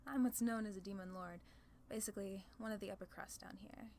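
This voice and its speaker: A woman's voice: medium pitch, fairly even intonation, fairly quick, vocal fry, dark tone, lightly acted. Woman playing a haughty, teasing demon lady, noisy backdrop. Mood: imperious. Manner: condescending, playful, theatrical. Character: haughty, teasing female demon lord, amused and sly. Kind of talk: ASMR roleplay acting.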